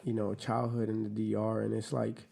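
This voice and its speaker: A man's voice: on the low side, completely flat delivery, moderate pace, creaky, mellow. A man from the Dominican Republic, a podcast guest talking in a laid-back, slangy way with many fillers. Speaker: podcast guest, clothing brand owner. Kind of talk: casual interview chat.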